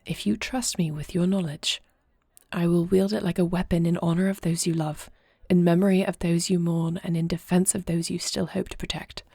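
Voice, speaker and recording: A woman plays an earnest fantasy princess who leads in war. Her voice is low and mellow with a gravelly edge, and she speaks formally and solemnly, as in a scripted audio drama. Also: little pitch movement, no echo, studio quality. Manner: formal, solemn, deliberate phrasing. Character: young adopted princess and war leader, earnest, measured, regal. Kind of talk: scripted audio roleplay acting.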